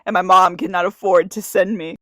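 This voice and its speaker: A woman's voice: on the low side, steady tone, brisk, a bit bright, dry recording. Crying 21-year-old woman reciting a poem. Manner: earnest, confessional, repeating a refrain. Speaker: YouTuber. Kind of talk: spoken-word poem to camera.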